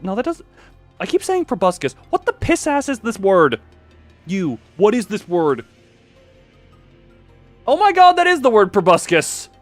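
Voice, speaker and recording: A man with a fairly high, very animated voice commentates a game on a livestream. He is excitable and sweary, prone to giggling as he reads aloud. Faint music plays behind him. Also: no room sound. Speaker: livestreamer playing and reading a story game.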